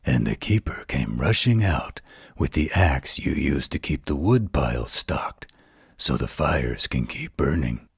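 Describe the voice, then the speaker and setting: A man playing a gentle, protective werewolf in a bedtime roleplay audio, speaking in a gravelly, husky, soothing voice. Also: very deep voice, sing-song, dry recording, clean studio sound, exaggerated voice. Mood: hushed storytelling. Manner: soothing, unhurried, tender.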